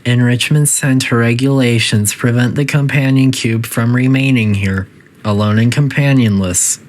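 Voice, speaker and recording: A man's voice: mid-range voice, fairly even intonation, normal speed, natural voice. A man voicing a coolly polite, faintly menacing facility AI that gives formal, detached test-chamber announcements in a video game. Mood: clinical, detached.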